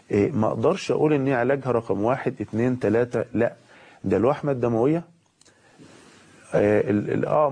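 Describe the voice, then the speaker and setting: A man with an Egyptian accent, a pediatric surgeon with a cold, giving a calm medical explanation with pauses and fillers. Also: medium pitch. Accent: Egyptian Arabic. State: has a cold.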